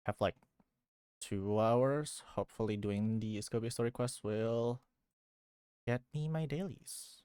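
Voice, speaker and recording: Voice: medium pitch, unhurried, dark tone, clean tone, dry recording, clean studio sound. A game streamer chatting casually on a livestream, rambling playfully and repeating phrases as if to themselves. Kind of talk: casual livestream chat while gaming.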